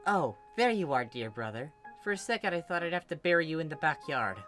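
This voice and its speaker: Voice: medium pitch, lively intonation, brisk, fairly bright tone, faint music behind, voice pushed up, lightly acted. A narrator voicing a young woman, a falsely cheery, teasing big sister in a story reading, with a playfully smug, nagging manner. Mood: cheery menace.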